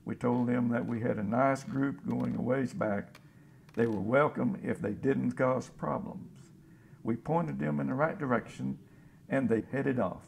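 An actor playing a folksy, plain-spoken, hopeful scavenger in a radio drama about survivors of a disaster. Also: male voice, mid-range voice, fairly even intonation, measured pace, vocal fry, a little mellow, slight background noise. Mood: reflective, matter-of-fact. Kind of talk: radio drama, interview monologue.

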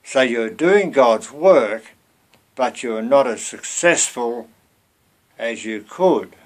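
A man's voice: medium pitch, lively intonation, slow. A man in his seventies giving an informal, earnest, conversational sermon to camera as a lay preacher, often turning to rhetorical questions, recorded at home on a computer mic. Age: 70s. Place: small cluttered room at home.

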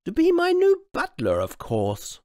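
A posh, imperious old queen played by a man in a shrill storybook falsetto. The voice swoops grandly and over-enunciates with pompous, snappy authority. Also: wildly swooping pitch, unhurried, a little mellow, no echo, studio quality, heavily acted. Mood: grandly pleased. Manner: pompous, over-enunciated, refers to self as "one".

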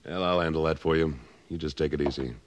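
A man playing a tough, steady cattleman and war veteran in an old-time radio western, firm and terse. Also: extremely low pitch, fast. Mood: easygoing.

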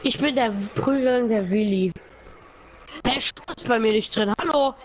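A woman's voice: on the high side, unhurried, slight background noise. A playful woman joking around and crying for help while gaming with friends. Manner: playful, repeats cries for help. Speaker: gamer. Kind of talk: casual let's-play banter.

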